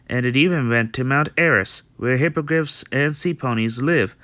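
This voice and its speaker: A man acts out a friendly young stallion from a fan-made cartoon dub. He is chatty and practical, explaining things earnestly in a clean, smooth voice. The sound is dry and close. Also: mid-range voice, normal speed, pushed and bright, dry recording, close to an everyday voice. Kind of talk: amateur comic dub voice acting.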